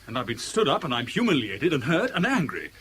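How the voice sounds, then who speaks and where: A man's voice: medium pitch, huge pitch swings, a little fast, a bit bright. An actor in a vintage radio drama playing a lovesick, self-pitying man in his forties, wry and intense. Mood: wounded, bitter. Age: 40s. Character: lovesick, self-pitying forty-year-old English businessman. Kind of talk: 1970s British radio drama acting. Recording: faintly hissy vintage radio drama recording.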